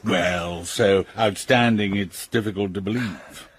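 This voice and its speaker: An actor playing a chummy, pompous health-clinic doctor in a radio drama, husky and effusive with flowery phrasing. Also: male voice, on the low side, a little slow.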